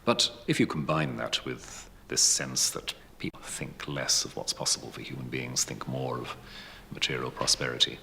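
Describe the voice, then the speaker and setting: Very deep, gravelly man, reflective. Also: moderate pace, few pauses, sharp tone, big-room sound, a little hiss or hum. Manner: thoughtful, considered phrasing. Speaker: interviewee. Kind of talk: reflective interview answers.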